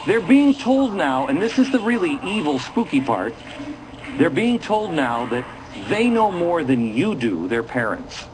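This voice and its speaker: A man's voice: high-pitched, noisy background. A man hosting a political commentary show, talking to viewers in a dramatic, indignant and sarcastic manner.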